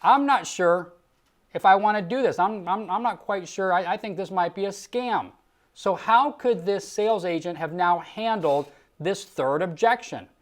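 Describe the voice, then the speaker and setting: A man's voice: high-pitched, moderate pace. A man working as a sales trainer, giving instructional commentary in an emphatic, rhetorical coaching style and acting out example lines. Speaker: Medicare sales trainer and host.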